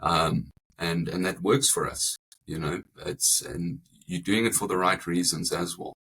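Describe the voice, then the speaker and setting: Man, English South African accent, deep husky voice, insurance broker, thoughtful, repeats himself. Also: a little slow, bright, forceful tone, rough recording. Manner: thoughtful, repeats words as he builds his point. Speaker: guest, family insurance broker. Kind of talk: interview conversation.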